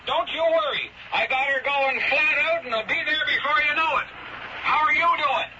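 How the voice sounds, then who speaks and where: Insistent plain-spoken seaman, radio play, very high voice through boat radio, clear noise. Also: normal speed. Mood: firm. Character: stubborn, loyal seaman calling over boat radio. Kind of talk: old-time radio drama acting. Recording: dull noisy radio drama recording.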